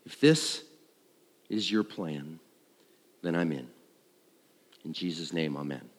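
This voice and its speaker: A man's voice: rumbling low, takes it slowly. Man giving testimony in a reverberant hall. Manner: animated storyteller, acting out dialogue and thoughts; conversational, self-deprecating. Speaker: worship leader. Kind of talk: personal testimony told to a congregation. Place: large room, speaking to an audience. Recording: live hall with long reverb.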